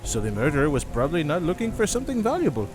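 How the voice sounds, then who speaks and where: A man's voice: on the high side, huge pitch swings, very fast, over music, pitched above the performer's own voice. A man playing a sly, mischievous avian rogue in a fantasy audio drama, casual and offhand.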